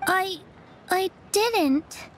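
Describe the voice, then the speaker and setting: A woman's voice: extremely high pitch, lively intonation, drawn-out words, a little hiss or hum, full character voice. A woman voicing a polite, frail little girl in a video game, timid and hesitant in her speech. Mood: timid, guilty. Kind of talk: game dub acting.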